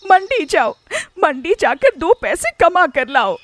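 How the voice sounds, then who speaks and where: A woman playing a fed-up, nagging village wife and mother in a children's cartoon story, indignant and sharp-tongued. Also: sits high, sing-song, unhurried, dry recording. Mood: angry, nagging.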